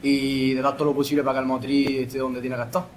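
A young man, a footballer, answering post-match interview questions in a modest, halting way. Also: mid-range voice, flat delivery, slight background noise. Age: young adult. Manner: halting, modest, pausing to restart.

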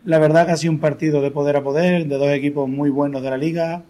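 Football coach, mellow, analytical voice. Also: male voice, a little high, steady tone. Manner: measured, analytical, with restarts. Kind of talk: post-match interview.

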